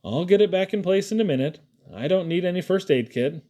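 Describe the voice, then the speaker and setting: A man's voice: high voice, animated, brisk, warm tone, pitched above the performer's own voice. A man voicing a befuddled, grumbling father in a family story, bewildered and exasperated. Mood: groggy confusion.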